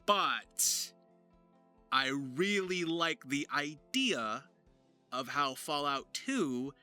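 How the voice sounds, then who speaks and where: Really bright punchy guy doing a vlog explainer, voice jumps around a lot, music behind. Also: mid-range voice. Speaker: video creator and voice actor.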